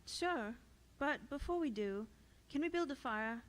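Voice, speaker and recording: An actress playing a practical, easygoing camper in radio drama dialogue. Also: female voice, high-pitched, animated, measured pace.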